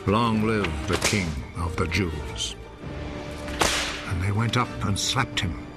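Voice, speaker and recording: A man giving solemn, measured scripted narration of a scripture film as its narrator. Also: fairly low pitch, loud music behind the voice. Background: prominent dramatic film score.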